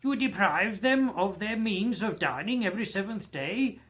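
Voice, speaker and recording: A man acts out an old miser from a storybook, now humbled. He speaks in a subdued, earnest, questioning way, in a fairly high male voice with lively pitch. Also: normal speed. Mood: earnest, challenging.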